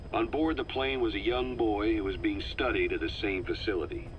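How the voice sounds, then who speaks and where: An actor playing a wary, brooding veteran intelligence operative, giving briefings in a measured, grave manner for a game. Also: male voice, low-pitched, muffled recording, slight background noise. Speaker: briefing officer. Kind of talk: dramatic game briefing dialogue.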